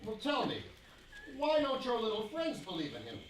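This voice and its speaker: An actor playing an irascible, cynical 19th-century American newspaper editorialist in a theatrical, sardonic stage radio play. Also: male voice, high voice, huge pitch swings, moderate pace. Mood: curious, restrained. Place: playhouse stage. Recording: echoey hall, distant mics, faint noise.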